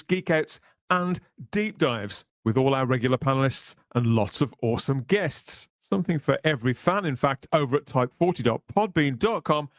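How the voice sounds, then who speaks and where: A man's voice: mid-range voice, no echo, clean studio sound. A man hosting a podcast, moving between conversational talk and polished scripted narration with wordy, theatrical phrasing. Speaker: podcast host.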